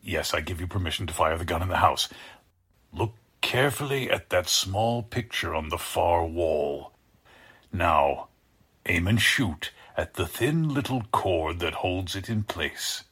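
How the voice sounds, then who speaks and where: A deep, husky-voiced mad scientist, courtly and gloating. He speaks slowly and with measured menace, in the manner of an old radio thriller villain. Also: animated, a bit bright, full character voice. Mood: calm menace. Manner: measured, over-polite, menacing. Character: deranged male scientist, sinister, courtly, gloating captor. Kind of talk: 1940s radio drama acting.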